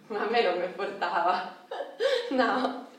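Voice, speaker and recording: An actress playing a frustrated, naive and dreamy working-class Roman wife in a radio play, rambling colloquially with a Roman accent. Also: female voice, medium pitch, takes it slowly, lightly acted. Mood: wistful, amused. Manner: colloquial, rambling, tags phrases with "no?" and "eh". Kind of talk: theatrical radio drama monologue in dialect. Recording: roomy, echoing, distant mic, faint noise.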